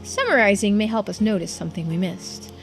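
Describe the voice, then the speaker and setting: A woman reads the part of a diligent assistant investigator in a supernatural mystery game. The delivery is formal and measured, like a case report, in a mid-range voice over music. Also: medium pitch, lively intonation, lightly acted. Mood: formal, serious. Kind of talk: voiced visual-novel dialogue.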